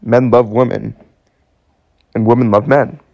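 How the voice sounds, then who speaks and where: Radio drama: bewildered twenty-something man actor, hesitant and earnest, creaky voice, close dry sound. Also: mid-range voice, steady tone, a little slow, dry recording, highs cut off. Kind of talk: radio play acting.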